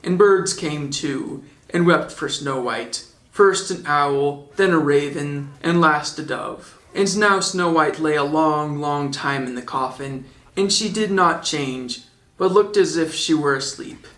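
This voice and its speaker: Male storybook narrator, higher-than-average voice, informal audiobook read in a reverberant room. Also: slow, a bit bright. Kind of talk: storybook narration with joking asides.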